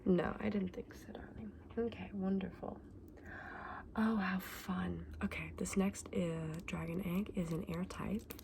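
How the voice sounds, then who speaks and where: Casting: woman performing as a chatty, gentle shop saleswoman for ASMR roleplay; hushed, often whispered delivery, gravelly fry, faint noise behind. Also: mid-range voice, fairly even intonation. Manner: gentle, unhurried, informal explaining. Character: gentle, chatty woman selling dragon eggs. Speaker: ASMR roleplayer playing a shopkeeper.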